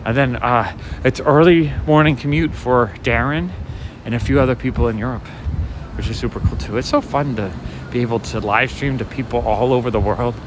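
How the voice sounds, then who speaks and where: A man with a mid-pitched, raspy voice talks softly and casually to his viewers as he strolls. He rambles from one thing to the next in an easygoing way. His phone picks up clear street traffic around him on a night-time city walk. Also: a little fast. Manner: casual, chatty, talks to viewers while walking. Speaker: walking vlogger and livestreamer. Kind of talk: soft-spoken rambling walk-and-talk commentary. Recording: street noise and passing traffic. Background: city street ambience and vehicles.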